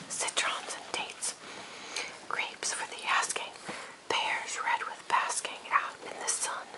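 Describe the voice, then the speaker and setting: A woman reads narrative verse entirely in a whisper, with hardly any voice behind the breath. It is an ASMR-style poetry reading, breathy throughout. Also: low-quality recording. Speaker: reader of a narrative poem.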